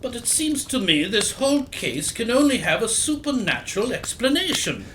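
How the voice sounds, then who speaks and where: A man acts a fussy, loyal gentleman sidekick in an audio detective play. His voice sits high and jumps about animatedly, full of proper bluster. It is recorded in an echoey space with faint noise. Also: sing-song, normal speed, exaggerated voice. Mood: earnest, huffy. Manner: blustery, proper, old-fashioned exclamations. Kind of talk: audio theatre detective drama. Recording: roomy echo, faint noise and clicks.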